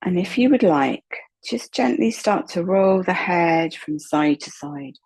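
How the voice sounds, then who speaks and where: A woman leading a slow guided meditation in a soft, breathy voice, calm and unhurried, with long pauses. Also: lowish voice. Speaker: guided relaxation meditation instructor.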